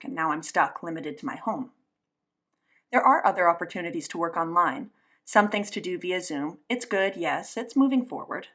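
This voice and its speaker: A woman's voice: lowish voice, fairly bright tone. A woman gives a spoken interpretation of a signed interview, working as an interpreter. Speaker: sign language interpreter voicing an interview.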